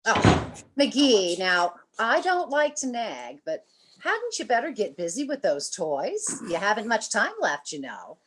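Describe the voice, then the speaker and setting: A woman playing a long-suffering, teasing wife with dry wit and a gently nagging manner in an old-time radio comedy. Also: medium pitch, very animated, a little slow, slight background noise. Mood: wry, nagging. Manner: polite, gently nagging, wry.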